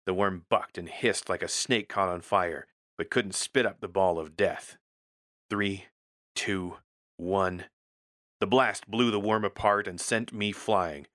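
A man's voice: sits low, slow pace, fairly bright tone, no room sound, clean studio sound. A man reading a first-person military science fiction story as a scripted narrator, in a gritty, terse storytelling manner.

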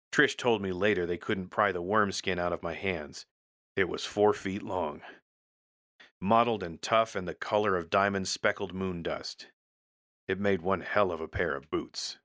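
Deep, bright-edged male story narrator. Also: no echo, clean studio sound. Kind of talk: scripted first-person audio fiction narration.

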